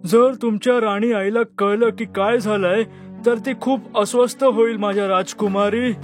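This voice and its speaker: A man voicing a loyal, sympathetic talking horse, concerned and respectful, in a children's animated fairy tale. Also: sits high, normal speed, faint music behind, natural voice.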